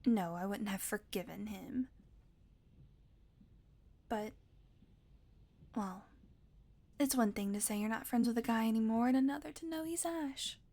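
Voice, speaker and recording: A woman acting as a wounded vampire woman in an audio roleplay. Her voice is fairly high and unhurried, often slipping into breathy asides. She is sardonic and teasing, though tired and weak. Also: a little mellow. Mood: subdued, musing. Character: weakened captive female vampire, wry, teasing, wounded.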